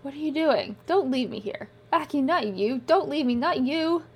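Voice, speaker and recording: A woman's voice: on the high side, moderate pace, gravelly, a little mellow, husky. A woman doing a panicked wounded soldier. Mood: pleading. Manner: pleading, rising to panic. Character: desperate injured young male soldier.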